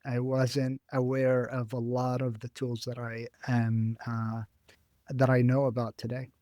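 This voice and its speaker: A young man whose first language is Arabic, speaking thoughtfully as a graduate student guest in a career interview, with frequent "uh" pauses. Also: medium pitch, monotone, warm tone, dry recording, studio quality.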